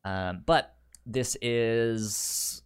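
A man's voice: medium pitch, very slow, smooth. A man with a pronounced stutter, chatting casually as a podcast co-host and reading synopses aloud. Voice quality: pronounced stutter with repeated sounds and syllables.

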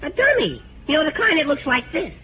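A performer playing a droll, evasive teenage boy, a hurried sidekick in a 1940s radio comedy. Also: high voice, big pitch swings. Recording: tinny, noisy old-time radio recording.

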